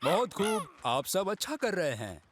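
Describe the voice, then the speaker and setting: A man's voice: high-pitched, big pitch swings, measured pace, fairly bright tone, full character voice. A man voicing a patient, helpful uncle in a Hindi cartoon dub, speaking like an encouraging instructor. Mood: instructing.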